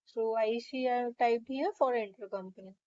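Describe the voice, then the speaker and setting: A woman narrating a step-by-step software tutorial in a methodical, instructional way. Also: fairly high pitch, normal speed, highs cut off.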